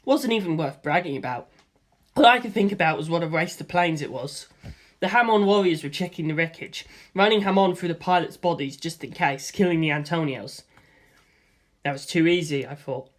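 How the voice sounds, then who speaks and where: A narrator reading a first-person novel aloud in an expressive storytelling style, acting out the action with gusto. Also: medium pitch, normal speed. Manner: acts out sound effects with gusto.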